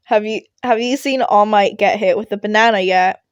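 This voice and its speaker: A woman's voice: medium pitch, normal speed, no room sound, muffled recording, close to an everyday voice. A woman voicing a reserved, puzzled teenage boy student with a hesitant, questioning manner in a fan fiction reading.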